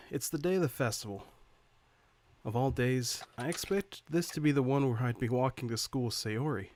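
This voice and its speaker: A man playing an earnest, worried high school student who narrates a visual novel in the first person. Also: normal speed, darkish tone, lightly acted. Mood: matter-of-fact.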